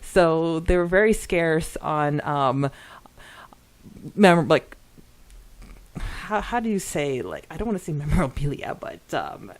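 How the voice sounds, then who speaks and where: Woman podcaster, low-pitched and close-miked. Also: very animated, slow pace, long pauses, dry recording. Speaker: podcast co-host. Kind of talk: casual chat, reading out and commenting on listener comments.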